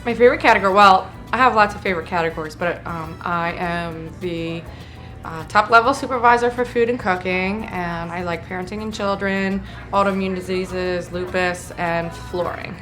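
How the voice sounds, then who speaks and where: A woman from New Jersey, a site supervisor, answering interview questions in a chatty, measured way. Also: on the low side, fairly bright tone, soft background music.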